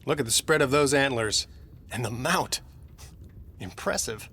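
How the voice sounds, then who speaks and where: Courteous, authoritative period doctor. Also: male voice, medium pitch, measured pace, bright, forceful tone, breathy edge, exaggerated voice. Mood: sociable. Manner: polite, clinical, authoritative. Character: grave, courteous, firm family physician to nobility. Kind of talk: period gothic audio drama acting.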